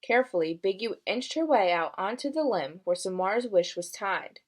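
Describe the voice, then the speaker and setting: A woman teacher giving a read-aloud lesson, narrating a children's novel in an expressive, instructive way. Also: medium pitch, normal speed.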